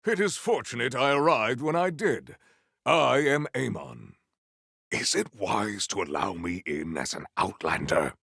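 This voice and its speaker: An actor playing a proud, battle-hungry warrior in a video game, with formal, stilted and grandiose diction. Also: male voice, medium pitch, animated, a little slow, gravelly, a bit bright, slightly rough, no echo, studio quality, full character voice. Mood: formal, composed. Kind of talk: scripted video game character lines.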